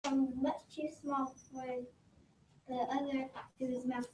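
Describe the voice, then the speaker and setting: A young reader, sounding like a child, reading a rhyming story aloud slowly and haltingly. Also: high-pitched, level tone. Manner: slow, stumbling over words. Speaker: readers theater performer. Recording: roomy, distant mic, background noise.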